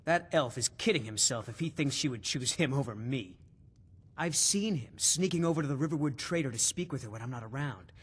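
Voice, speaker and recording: Smug young villager, husky voice, jealous schemer, boastful, fantasy game dialogue. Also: male voice, mid-range voice, lively intonation, brisk. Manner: boastful, dismissive. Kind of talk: video game dialogue acting.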